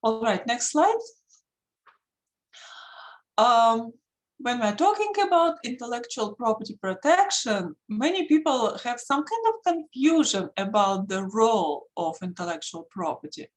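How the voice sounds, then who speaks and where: Lively, slow-paced woman with a Russian accent. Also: fairly high pitch, rough recording. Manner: earnest, emphatic, pauses with many fillers. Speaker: patent attorney, guest speaker. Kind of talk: online presentation. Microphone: video call.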